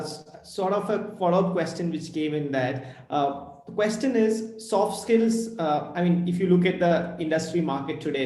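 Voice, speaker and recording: A man's voice: a little high, warm tone, roomy sound. A man moderating a webinar, politely and a little hesitantly reading out audience questions.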